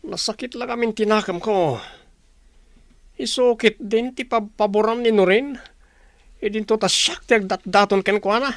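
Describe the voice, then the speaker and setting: A man's voice: sits high, moderate pace, long pauses, a bit bright, no room sound, studio quality. A man playing a jealous, hot-headed, possessive man in an audio drama, sounding aggrieved. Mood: bitter, jealous. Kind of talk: Ilocano audio drama acting. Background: music.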